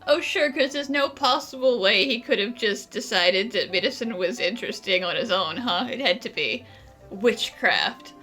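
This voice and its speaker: A woman's voice: medium pitch, lively intonation, moderate pace, bright, forceful tone. A woman playing a sarcastic, blunt young female companion in a video game, with dry teasing delivery. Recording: music under the voice.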